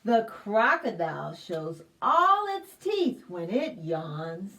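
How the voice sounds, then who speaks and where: A woman reading a picture book aloud to young children in an expressive sing-song manner, asking the listeners questions, recorded at a distance from the microphone. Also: medium pitch, takes it slowly. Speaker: children's library storytime reader.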